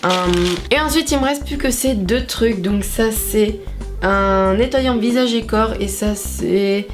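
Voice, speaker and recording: A woman's voice: medium pitch, takes it slowly. Chatty, swearing woman vlogger over music. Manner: chatty, swears often, exclaims at products. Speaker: beauty haul vlogger. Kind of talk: casual unscripted haul chat. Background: background music.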